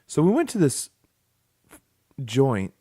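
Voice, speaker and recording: A man's voice: mid-range voice, wildly swooping pitch, slow pace, warm tone, breathy edge. A man in his thirties, co-hosting a podcast, joking and rambling in casual chat while getting over the flu. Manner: joking, rambling, laughs often. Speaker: podcast co-host.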